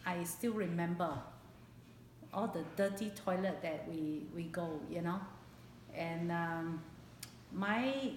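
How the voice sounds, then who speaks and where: A woman in her late fifties with a Singaporean accent recalls her life in a personal interview, speaking haltingly and repeating phrases for emphasis. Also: on the low side. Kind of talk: personal oral history interview. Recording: echoey room, background hiss.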